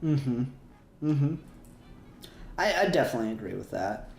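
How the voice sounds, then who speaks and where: A man's voice: medium pitch, animated, moderate pace, gravelly, a little mellow. A man in casual, sweary fan discussion as a podcast co-host, rambling along with emphatic repetition. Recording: roomy echo, faint music behind.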